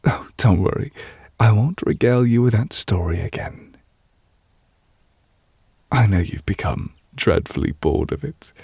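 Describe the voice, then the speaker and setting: A man playing a gentle, refined, possessive witch in love, speaking breathy and hushed in an intimate ASMR romance roleplay. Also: lowish voice, expressive, measured pace, long pauses, no echo, clean studio sound, exaggerated voice. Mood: fond, wistful. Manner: gentle, refined, wistful, formal phrasing. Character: soft-spoken, tender, possessive male witch in love.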